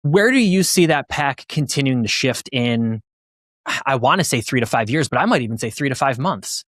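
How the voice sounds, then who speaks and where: A man's voice: medium pitch, animated, normal speed, a bit bright, no echo, clean studio sound. A man hosting a podcast, talking conversationally as he shares views and puts questions to a guest. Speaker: podcast host. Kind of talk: conversational interviewing.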